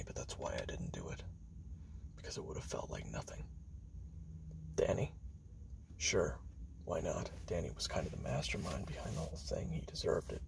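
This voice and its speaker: A man playing a weary, grim assassin who records his memoirs as a slow, halting confessional monologue in a gravelly, breathy half-whisper. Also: rumbling low, heavily acted. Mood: wry, pointed. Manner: slow, halting, hushed, with long pauses.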